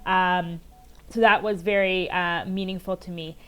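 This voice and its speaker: A woman in her thirties, originally from Maryland, speaking as an interview guest over a remote call from home. She tells her story earnestly and pauses often with "um". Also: lowish voice, steady tone, clear, resonant tone, rough recording. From United States, Maryland. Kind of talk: conversational interview answers.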